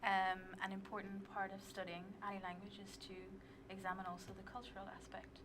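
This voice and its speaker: Irish teacher lady, even mid voice, polite and measured, small studio hum. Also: medium pitch. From Ireland. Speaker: teacher leading an exchange trip.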